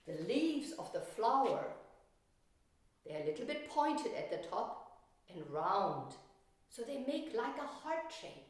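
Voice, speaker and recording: A woman's voice: on the high side, big pitch swings, takes it slowly, pauses often. Woman teaching children in a very echoey room. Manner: simple step-by-step explaining to children, with questions. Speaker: art teacher. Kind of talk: children's painting lesson with storytelling. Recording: very echoey room, distant mic.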